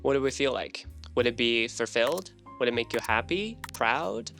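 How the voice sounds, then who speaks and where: A man whose first language is Chinese speaks to camera in an earnest, casual vlog voice. His pitch sits in the middle, and he keeps a friendly, explaining tone with short laughs between points. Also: a bit bright. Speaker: English learner and vlogger. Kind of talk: casual scripted talk to camera.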